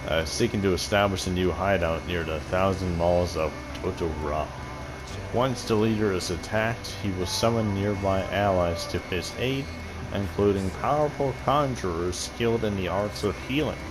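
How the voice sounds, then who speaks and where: A man with a deep voice casually commenting on a game and reading quest text, rambling and swearing freely. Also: normal speed, noisy background. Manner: profane, rambling, laughs often. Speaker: gaming video host.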